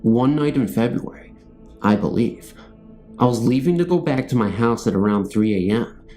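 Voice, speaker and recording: A man narrates true ghost stories in a dark, mellow voice at a medium pitch. His delivery is scripted first-person storytelling, with faint music underneath. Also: expressive, normal speed.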